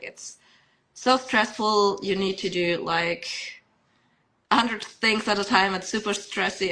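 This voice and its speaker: Young woman guest, bright tone, chatty with restarts and laughs. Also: mid-range voice, rough recording. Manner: chatty, laughs often, many fillers and restarts. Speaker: podcast guest. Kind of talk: casual podcast chat.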